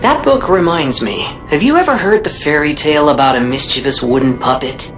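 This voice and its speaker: Over clear background music comes a wistful game character, a storyteller with a low female voice. She muses slowly and hesitantly about bygone days, her pitch rising and dipping expressively. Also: animated. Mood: storytelling, musing. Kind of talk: video game cutscene acting.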